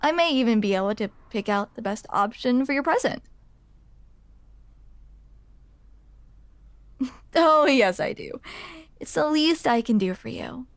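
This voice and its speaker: A woman's voice: a little high, expressive, moderate pace, dry recording. A young woman playing a grumpy, smitten barista in an intimate ASMR roleplay, awkward and hesitant as she confides in the listener. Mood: relieved, grateful. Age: young adult. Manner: awkward, hesitant, self-deprecating, confessional.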